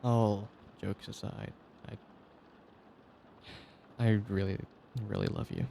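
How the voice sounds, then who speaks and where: A man's voice: fairly low pitch, wildly swooping pitch, slow pace, creaky, mellow, a little hiss or hum. A man performing an intimate sleep-aid roleplay as a doting, teasing boyfriend, speaking tenderly with rain ambience behind him. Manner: tender, praising, teasing, chuckling often.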